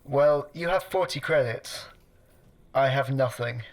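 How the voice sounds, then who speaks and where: An actor playing a fussy, put-upon computer mind stuck in a toaster in a sci-fi comedy radio play, dry, pedantic and exasperated. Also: male voice, mid-range voice, a little slow, natural voice. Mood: dry, nagging.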